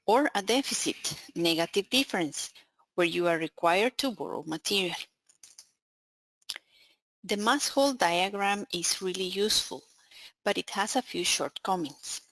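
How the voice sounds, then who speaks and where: A woman with a Spanish accent, an account manager presenting a scripted webinar in a careful, steady way. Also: medium pitch, no room sound, low-quality recording.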